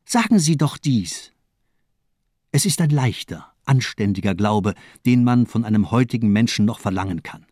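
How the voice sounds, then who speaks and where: Fanatical villain doctor, swooping declamation. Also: male voice, fairly low pitch, expressive, normal speed, a little mellow, no echo, clean studio sound. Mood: taunting, insistent. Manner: declamatory, insistent, rhetorical. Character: fanatical, mocking villainous male doctor, zealously demanding. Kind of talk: radio drama acting.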